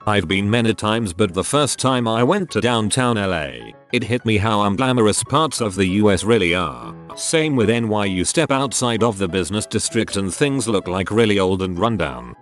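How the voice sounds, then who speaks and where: A man reading out forum posts as a narrator, in a steady, matter-of-fact way. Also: fairly low pitch, moderate pace, hardly any pauses, soft background music.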